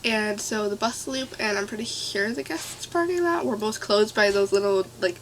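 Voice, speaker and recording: Young woman, stuffy nose, excitable storyteller full of fillers, faint room hiss behind. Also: medium pitch, normal speed, poor audio. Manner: rambling, filler-heavy, excitable. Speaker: vlogger. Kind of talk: casual storytime.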